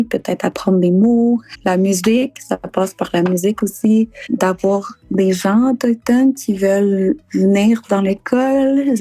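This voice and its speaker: A woman's voice: medium pitch, warm tone, dry recording. A woman with a Quebec French accent talks casually and earnestly, as an artist giving an interview. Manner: informal, earnest. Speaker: artist and content creator, guest mentor.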